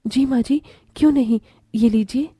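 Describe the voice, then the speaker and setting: Gentle high-pitched young daughter-in-law played by a woman. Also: normal speed, husky, no room sound, clean studio sound. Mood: kind. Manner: respectful, earnest.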